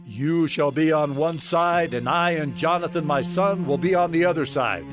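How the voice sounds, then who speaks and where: A man's voice: a little high, moderate pace, lightly acted. An actor playing a stern warrior king of ancient Israel in a dramatized audio Bible, with solemn, emphatic delivery. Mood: commanding. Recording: dramatic music under the voice.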